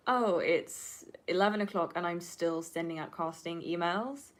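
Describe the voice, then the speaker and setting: A woman in her twenties, a native Flemish Dutch speaker, chatting casually to livestream viewers in a rambling, candid, sweary and emphatic way. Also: deep voice. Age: 20s. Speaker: livestreamer and film student. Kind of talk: casual livestream chat answering viewer questions.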